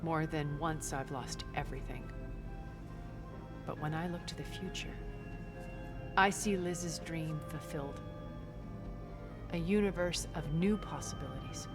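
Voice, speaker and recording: An actress playing a refined, wealthy immortal woman in a video game, speaking in measured, eloquent, theatrical phrases with quiet scorn. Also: sits low, over music. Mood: earnest resolve. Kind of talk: video game character dialogue.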